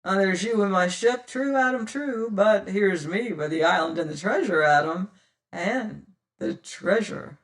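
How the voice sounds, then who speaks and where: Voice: mid-range voice, expressive, a little slow, a bit bright, far from the mic. A reader voicing a bold, cunning male pirate captain who talks hearty old-fashioned sea talk. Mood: hailing.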